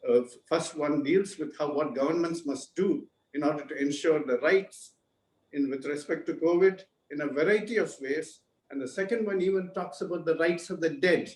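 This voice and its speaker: A man giving earnest, measured remarks as a panelist in a webinar over a video call. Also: on the high side, reverberant, poor audio.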